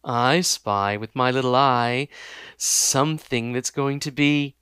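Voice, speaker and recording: A man reading an audiobook, voicing a soft-spoken, sinister villain who drawls his words slowly and mockingly. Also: medium pitch, clear, resonant tone, no echo, clean studio sound.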